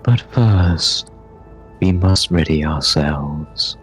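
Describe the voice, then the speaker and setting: A man voicing a solemn, grateful artificial being in a tabletop game, speaking in slow, formal, measured phrases. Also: low voice. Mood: solemn, urgent. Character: Navigator, solemn, grateful cosmic AI puppeteer. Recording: game music behind the voice.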